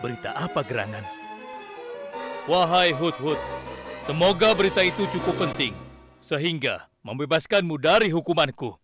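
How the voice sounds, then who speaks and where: A stern prophet king played by a male actor rules in this children's cartoon. He speaks with deliberate authority in a fairly high male register. Music sits loudly behind him. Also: lively intonation, breathy edge. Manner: authoritative, deliberate, given to pondering hums.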